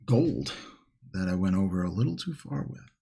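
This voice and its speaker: A man with a fairly low, husky voice chats casually to livestream viewers while he works on a hobby. He takes his time, rambling and musing to himself, with plenty of gravelly fry in his speech. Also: big pitch swings, normal speed, warm tone. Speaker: livestream host, miniature painting hobbyist.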